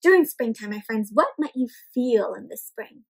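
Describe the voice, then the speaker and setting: A young woman hosting an interactive picture-book read-aloud for small children, expressive and animated, asking them questions as she goes. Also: a little high, normal speed, creaky, background noise. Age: young adult. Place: indoor room at home with plants and bookshelf.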